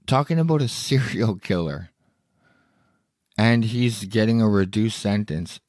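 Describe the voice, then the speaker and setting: A man's voice: lowish voice, darkish tone, dry recording, studio quality. A man hosting a solo podcast who is losing his voice, reading news aloud and commenting on it in a blunt, indignant, profane way. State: hoarse, losing his voice with a cough. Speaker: podcast host.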